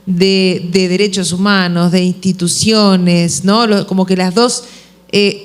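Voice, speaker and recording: A woman from Uruguay with a Uruguayan accent, moderating a business panel and posing questions thoughtfully, with frequent fillers and restarts. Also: on the low side. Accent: Uruguayan Spanish.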